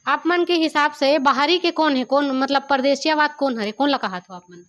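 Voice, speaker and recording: High-voiced Chhattisgarhi woman interviewer. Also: fairly quick, a bit bright. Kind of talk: interview questions.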